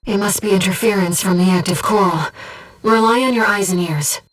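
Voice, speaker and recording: A woman voicing a gentle, earnest, disembodied female companion in a video game, guiding a pilot with composed, precise callouts and briefings. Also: medium pitch, a little fast, far from the mic, lightly acted. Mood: composed, informative. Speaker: mission support companion.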